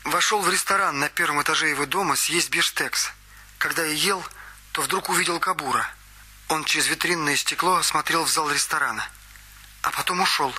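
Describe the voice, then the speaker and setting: An eager young witness. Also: male voice, a little high, a little fast, bright, forceful tone, slightly rough, full character voice. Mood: earnest. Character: eager young male witness recounting events. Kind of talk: radio play acting. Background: music.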